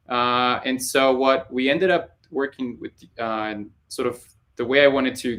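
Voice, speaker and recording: A man's voice: medium pitch, a bit bright. Man explaining, filler-heavy. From Colombia. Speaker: panel guest, data scientist. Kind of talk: conversational explanation.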